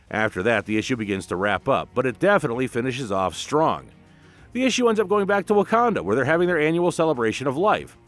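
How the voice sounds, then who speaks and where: A man narrating a scripted comic book recap with informal commentary in a dramatic, emphatic way. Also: mid-range voice.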